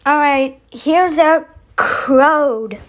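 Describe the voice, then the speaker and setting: A high-pitched voice hosts a casual vlog at an unhurried, slow pace. The speaker rambles along, thinking aloud between one remark and the next. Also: a bit bright, a little hiss or hum. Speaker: video host.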